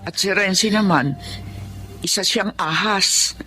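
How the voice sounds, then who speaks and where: An actress in a Filipino radio drama playing a loyal, anxious older housemaid, protective and indignant. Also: female voice, mid-range voice, measured pace, bright, forceful tone, a little hiss or hum. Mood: concerned, indignant.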